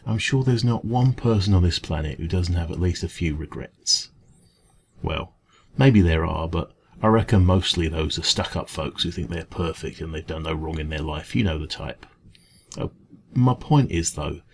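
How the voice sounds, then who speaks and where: Kindly gravelly English dragon, acted by a man. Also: low-pitched, moderate pace, slight background noise. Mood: sympathetic, reflective. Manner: soft-hearted, hesitant, reassuring, informal. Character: kindly, gentle male café dragon, reassuring friend. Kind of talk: ASMR comfort roleplay.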